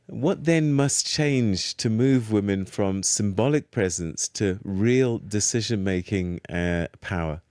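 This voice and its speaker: A male interviewer asks formal, carefully built questions. His voice sits fairly low and the sound is dry and close, with no room echo. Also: a little mellow.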